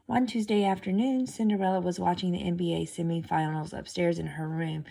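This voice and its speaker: Lady teacher doing a read aloud, lots of vocal fry, explains stuff simply. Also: fairly low pitch, fairly even intonation, brisk, warm tone. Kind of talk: story read-aloud with explanatory asides to students.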